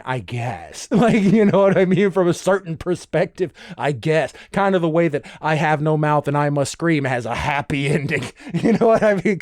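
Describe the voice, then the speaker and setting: A man's voice: on the high side, a little mellow, no echo, studio quality. A man hosting a solo podcast in a casual, rambling, conversational way, enthusiastic and casually profane. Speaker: podcast host.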